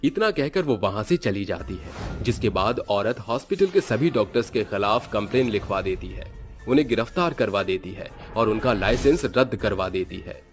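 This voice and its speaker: A man narrates a scripted cartoon horror story. His voice is on the low side. Soft music sits faintly behind him.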